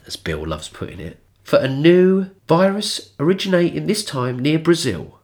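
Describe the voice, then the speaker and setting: A man talks through a heavy cold, his voice stuffy and nasal. His pitch swings widely as he mocks and swears his way through casual podcast chat. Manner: sweary, mocking, jumps into high mimicking voices. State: blocked nose from a cold. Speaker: podcast co-host.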